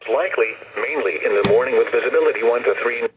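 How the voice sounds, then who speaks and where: An automated-sounding announcer reading a formal scripted weather bulletin through a radio speaker, measured and impersonal. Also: medium pitch, narrow, tinny audio, slight background noise.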